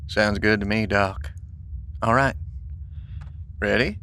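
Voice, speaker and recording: Folksy, cautious survivor, bright edgy voice. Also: male voice, slow, a little hiss or hum, exaggerated voice. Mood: easygoing, wary. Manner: folksy, colloquial, low-key. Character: folksy, cautious, rough-spoken male survivor scavenging supplies. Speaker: actor in audio drama.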